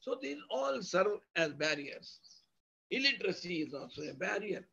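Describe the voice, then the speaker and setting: A male university lecturer with an Indian accent speaks in a very high, husky voice. He explains in a didactic, emphatic manner, restating points in strings of synonyms. The audio is dull and lo-fi. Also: sing-song, moderate pace, bright, forceful tone. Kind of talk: academic lecture, explanatory with examples.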